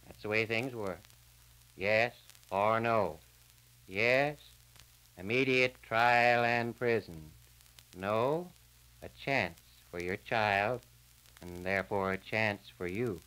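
Small-town man, solemn verdict delivery, plain-spoken, deliberate pauses, vintage radio disc crackle. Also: on the low side, sharp tone, clear, resonant tone. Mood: solemn, reasoning. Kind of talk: 1940s radio drama acting.

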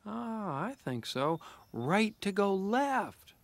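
A man voicing a cocky young race car in an animated game, with breezy, smug banter. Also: high-pitched, slow, gravelly, dry recording, full character voice. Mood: friendly, teasing. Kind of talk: animated game cutscene acting.

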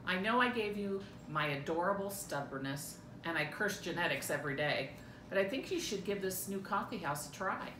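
Middle-aged woman reading lines, lowish voice, roomy. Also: animated, normal speed. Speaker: amateur reader in a family script reading. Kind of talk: dramatic script read-through, playing a mother. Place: home room indoors. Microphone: camera a few feet away. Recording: roomy echo, clear low rumbling noise.

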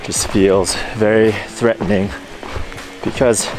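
A man on a long-distance hike, talking to the camera outdoors about the trail, the weather and how his gear is holding up, in a relaxed, informative way. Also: fairly low pitch, slow pace. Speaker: thru-hiker. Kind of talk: hiking vlog narration. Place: outdoors on a mountain trail in rain and wind. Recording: music playing under the voice. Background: background music.

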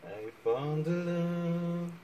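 Man in his thirties, from the Philippines, goofy vlogger, sings through a vase, high voice, roomy store echo. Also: takes it slowly, dark tone, a little hiss or hum. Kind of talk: playful singing vlog. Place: large indoor home decor store. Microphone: phone camera, often held at arm's length.

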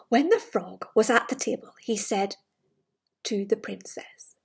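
A woman's voice: high voice, a little mellow, slightly rough. A woman around her forties telling a picture-book story to young children, with exclamations and wondering asides. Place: bright living room with large glass doors.

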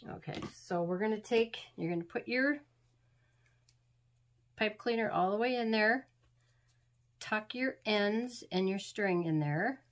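A woman's voice: mid-range voice, a little slow, long pauses. A woman hosting a craft tutorial, talking through steps in a homey, rambling way, a little sniffly from being sick. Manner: chatty, homey, rambling instructions. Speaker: craft channel host. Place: crafting table indoors.

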